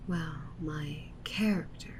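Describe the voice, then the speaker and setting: A woman playing a yandere witch VTuber in an ASMR livestream roleplay, talking softly and haltingly to her chat, sweetly menacing and self-justifying. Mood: earnest, defensive. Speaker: streamer. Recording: noisy with low rumble.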